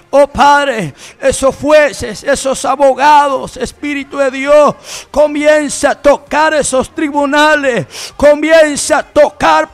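A man's voice: very high voice, creaky, a bit bright. A man leading fervent Pentecostal intercessory prayer, pleading and exclaiming in repeated phrases. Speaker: prayer leader.

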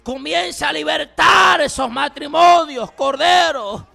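A man leading fervent intercessory prayer, shouting and pleading in repeated commands, breaking into tongues. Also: very high voice, slow, creaky, faint music behind.

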